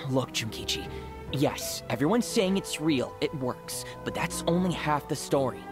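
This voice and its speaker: A blunt, exasperated skeptic from a fantasy game. His voice is a little high and very animated, with a reproachful, sensible delivery. Music is clearly heard behind him. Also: measured pace. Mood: reproachful, skeptical. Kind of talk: anime video game acting.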